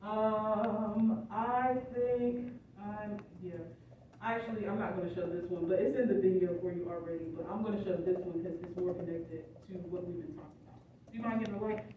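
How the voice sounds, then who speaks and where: A woman's voice: medium pitch, steady tone, moderate pace, narrow, tinny audio. A woman teaching a college class, explaining step by step and often checking that the students follow. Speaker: college instructor. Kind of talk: classroom lecture. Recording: echoey classroom, distant phone recording, background noise.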